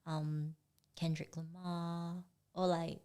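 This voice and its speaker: A young woman from Singapore, guest artist chatting casually in an interview, relaxed and full of "like" and "um". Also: fairly low pitch, slow. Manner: relaxed, many fillers like "like" and "um".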